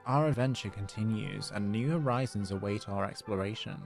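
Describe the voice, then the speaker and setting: A man livestreaming a game, excitable, casually commenting and reading dialogue aloud, with exclamations. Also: lowish voice, gravelly. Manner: excitable, reacts with gasps and drawn-out exclamations. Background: game music.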